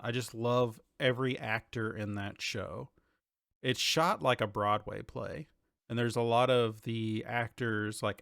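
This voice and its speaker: A man's voice: lowish voice, vocal fry, fairly bright tone, clear, resonant tone, no room sound, studio quality. A man in his thirties chatting casually as a podcast host, informal and conversational, often thinking aloud. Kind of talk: casual chat about TV and games.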